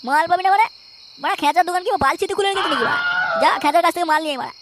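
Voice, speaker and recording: An actor voicing a bossy, foul-mouthed male drunkard in a crude cartoon, with a pitched-up voice. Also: very fast, heavy background noise. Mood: bossy, boisterous. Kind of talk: crude comic cartoon dialogue.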